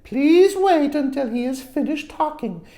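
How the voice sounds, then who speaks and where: A woman voicing a stern but patient storybook schoolteacher correcting pupils in a firm, deliberate way. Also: sits high, lively intonation, dark tone, roomy sound, pitched above the performer's own voice.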